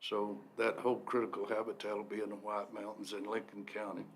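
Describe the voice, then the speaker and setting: A man serving as a deputy forest supervisor, briefing a county commission in a steady, explanatory way with frequent "uh" pauses. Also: on the low side, slight background noise. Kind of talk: briefing at a public meeting. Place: county commission meeting room.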